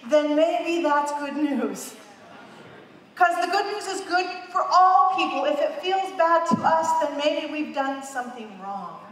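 Brief: woman pastor in her fifties, high voice, emphatic sermon, long hall reverb, heard off-mic. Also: fairly bright tone. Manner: emphatic, repeats phrases for emphasis, gestures widely. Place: large dark church stage. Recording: echoey hall, heard from a distance.

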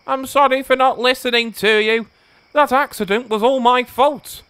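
Man voicing a vain, high-pitched huffy steam engine. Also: sing-song, slow, pushed and bright, heavily acted. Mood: apologetic. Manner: boastful, whiny, exclamatory. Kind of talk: children's storybook character voice.

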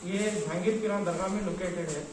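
Terse Hyderabadi farm owner, echoey. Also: male voice, high voice, fairly even intonation, fairly quick, a little mellow, a little hiss or hum. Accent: Hyderabadi Hindi-Urdu. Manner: terse, polite replies. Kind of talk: brief interview answers.

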